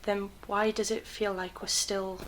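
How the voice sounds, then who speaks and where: A woman's voice: mid-range voice, flat delivery, unhurried, lightly acted. A woman playing a curious, wary 1930s television studio worker in an audio drama, questioning and understated. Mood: wary, puzzled.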